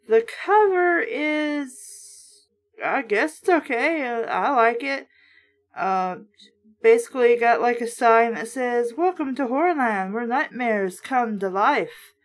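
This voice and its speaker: Female host, higher-pitched voice, informal reader-commentator with sarcastic asides. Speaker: host of a book review series. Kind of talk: casual read-aloud with running commentary.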